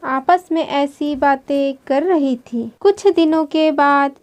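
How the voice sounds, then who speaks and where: A woman narrator reads a scripted children's story. Her voice is high and girlish, and her delivery is markedly slow.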